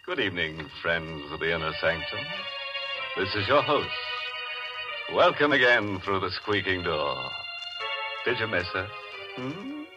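An actor playing a gleefully morbid horror host, theatrical and sly in 1940s radio style. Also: male voice, low-pitched, big pitch swings, measured pace, long pauses. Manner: theatrical, sly, tongue-in-cheek. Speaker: host and announcer. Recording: dull vintage radio, organ-era music behind.

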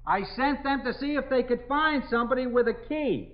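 Police sergeant, 1950s radio drama: raised high voice, plain-spoken, reassuring and firm, slightly muffled vintage sound. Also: male voice, fairly quick. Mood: patient, questioning. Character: steady, reassuring police sergeant calling to trapped woman. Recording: dull 1950s radio recording.

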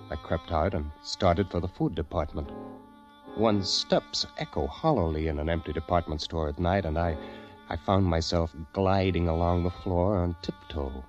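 An actor playing a nervous poet, telling his tale with halting intensity in a 1940s radio drama style. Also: sits low, muffled recording, close to an everyday voice. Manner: hushed, deliberate, halting, intense. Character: nervous poet fleeing the world, haunted narrator. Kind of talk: 1940s radio acting, dramatic first-person narration. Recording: vintage radio drama with music behind. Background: music.

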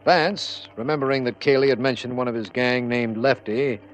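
On a dull, faintly noisy vintage radio recording, a mid-pitched district attorney played by a man speaks calmly. He sounds official and businesslike, in crime drama style. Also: normal speed, close to an everyday voice. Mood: matter-of-fact. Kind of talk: old-time radio drama acting and recap narration.

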